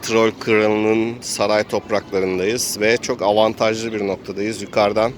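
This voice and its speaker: A man's voice: lowish voice, little pitch movement, unhurried. Man narrating a role-play game over background noise. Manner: narrates game action as if living it. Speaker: game master and player.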